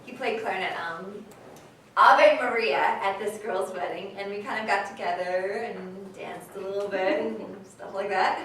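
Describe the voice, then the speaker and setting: A woman's voice: medium pitch, a little slow, a bit bright, natural voice. A woman playing a chatty, candid mother in her sixties, telling her story conversationally in a staged reading. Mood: chatty, amused. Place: live staged reading in a large room. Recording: echoey, noisy hall from far off.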